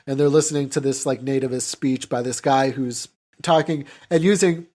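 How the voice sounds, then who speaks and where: A man's voice: mid-range voice, fairly even intonation, moderate pace, a little mellow. A man co-hosting a podcast, recapping a film in loose, informal, sweary chat and offhandedly paraphrasing what characters say. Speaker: podcast co-host. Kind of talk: casual chat recapping and mocking an old film.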